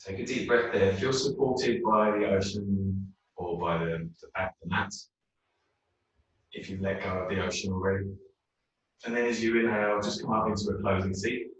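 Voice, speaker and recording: A man leading a guided meditation in a yoga class, speaking slowly and soothingly. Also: sits low, flat delivery, highs cut off. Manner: slow, soothing, unhurried. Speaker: yoga teacher. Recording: very echoey room, mic far off.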